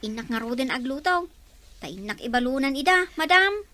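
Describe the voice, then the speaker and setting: An actress playing a polite young maid in an audio drama, explaining patiently. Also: female voice, high voice, animated, brisk, bright, forceful tone. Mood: dutiful. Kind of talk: Ilocano audio drama acting.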